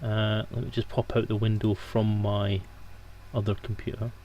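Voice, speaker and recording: A man's voice: fairly low pitch, level tone, moderate pace, warm tone, muffled recording, a little hiss or hum. A man hosting a live stream on a headset microphone, chatting informally to viewers in a joking, digressive way. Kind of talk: casual rambling livestream chat to viewers.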